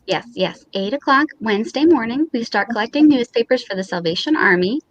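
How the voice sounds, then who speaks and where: A woman's voice: fairly high pitch, animated, a little fast. A woman playing a coy, evasive neighbor wife in an amateur old-time radio comedy. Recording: dull lo-fi.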